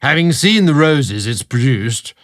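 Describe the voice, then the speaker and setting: A man's voice: mid-range voice, big pitch swings, a little slow, a bit bright, pitched above the performer's own voice. A man voicing a rotund, pompous, belligerent British baron in a storybook narration, barking imperiously.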